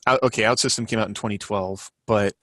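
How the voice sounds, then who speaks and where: A man's voice: fairly low pitch, steady tone, fast talker, fairly bright tone, dry recording, studio quality. A man co-hosting a casual podcast, rambling and thinking aloud as he talks. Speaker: podcast co-host and co-author.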